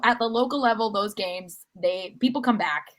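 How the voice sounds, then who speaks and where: A woman's voice: medium pitch, moderate pace. A woman hosting a podcast, chatting casually about sports in an enthusiastic, talkative way. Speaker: podcast host.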